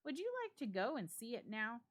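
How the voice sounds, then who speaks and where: A woman plays a cheerful young gentleman in a storybook reading. The voice is pitched up and swings freely, with a hearty, chatty manner that suits an easygoing host. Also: very animated, a little fast. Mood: breezy, welcoming.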